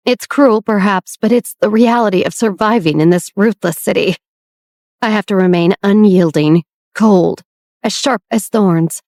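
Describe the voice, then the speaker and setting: A woman's voice: mid-range voice, a little mellow, dry recording, studio quality, lightly acted. A woman voicing a guarded older sister who speaks in a firm, clipped, resolute way.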